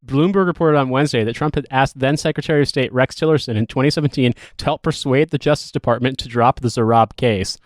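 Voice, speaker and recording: A man's voice: mid-range voice, fast talker, few pauses, dry recording. A man hosting a podcast, talking casually about politics in a rambling, wry and sweary way. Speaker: podcast host. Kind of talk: casual, joking political discussion.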